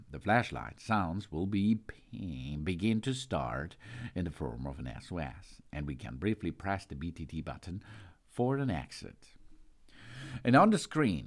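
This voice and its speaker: Male product reviewer, low voice with a lively, swinging delivery, steady step-by-step explainer. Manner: steady, button-by-button explaining with brief hesitations. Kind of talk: product unboxing and instructions.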